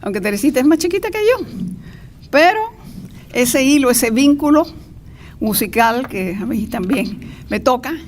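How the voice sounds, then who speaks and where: Older woman, Cuban accent, highish voice, informal introductory speech, chatty and digressive, slightly noisy live recording. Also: lively intonation, a little slow, pauses often. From Cuba. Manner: chatty, digressive, playful asides. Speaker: speaker introducing a lecturer.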